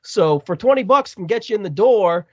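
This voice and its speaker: A man with a Boston accent co-hosting a casual wrestling podcast, animated and prone to repeating words. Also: sits high, normal speed, breathy edge, no echo, muffled recording. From Massachusetts, USA. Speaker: podcast co-host.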